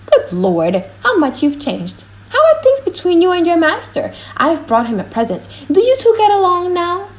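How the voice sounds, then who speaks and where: A woman acts out an old, nearly blind father in an audiobook play. The voice sits high and tends to wail. He is humble and anxious, deferring to whoever he addresses. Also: huge pitch swings, normal speed, few pauses, exaggerated voice. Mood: joyful. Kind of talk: dramatized audiobook reading.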